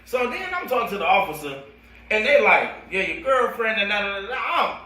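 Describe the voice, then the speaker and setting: An American man who works as a coach, telling a personal story in animated, slangy casual speech and acting out the dialogue and sound effects. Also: high-pitched, brisk. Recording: roomy echo, distant microphone.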